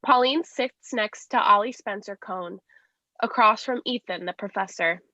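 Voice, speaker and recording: A woman's voice: mid-range voice, unhurried, pushed and bright, dry recording. A woman acting as neutral narrator, reading a play's stage directions as scripted narration. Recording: dry, dull lo-fi sound.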